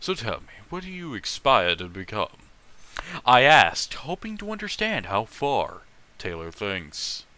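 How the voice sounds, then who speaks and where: A man reading fan fiction aloud as host, breaking off for animated, opinionated and profane casual commentary. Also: medium pitch, a little slow.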